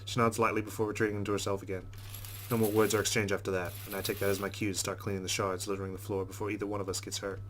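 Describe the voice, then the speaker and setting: A man's voice: on the low side, little pitch movement, very fast, a bit bright, a little hiss or hum. A man hosting a let's play, reading visual novel narration aloud expressively and dropping into casual asides.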